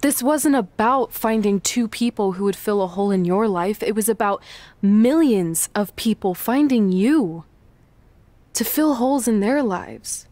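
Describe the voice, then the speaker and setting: A woman's voice: mid-range voice, measured pace, darkish tone, dry recording, close to an everyday voice. A woman acting as an earnest, teasing young wrestler and loyal best friend in a video game cutscene, speaking sincerely and conversationally. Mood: sincere, encouraging.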